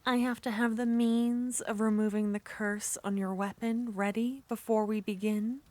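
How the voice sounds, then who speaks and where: A woman performing an ASMR roleplay as a jealous, possessive witch, speaking softly and often whispering. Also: on the high side, little pitch movement, moderate pace, no room sound. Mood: haughty. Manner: soft-spoken, teasing, often whispering. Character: jealous possessive young witch, teasing and haughty.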